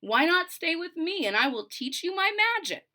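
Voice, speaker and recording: A woman voicing a wise, kindly old witch in a storybook, gentle and reassuring. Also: very high-pitched, very animated, moderate pace, pitched above the performer's own voice, exaggerated voice.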